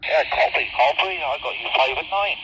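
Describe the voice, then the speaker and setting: A man's voice: fairly high pitch, moderate pace, sharp tone, a little hiss or hum, low-quality recording. A man in a casual exchange as a CB radio operator.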